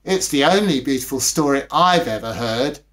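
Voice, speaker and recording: A man acts out an eager young child in a storybook tale. The voice is pitched well up and swings widely as it asks questions. It sounds wide-eyed and full of curiosity. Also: lively intonation, normal speed, fairly bright tone, lightly acted. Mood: earnest delight.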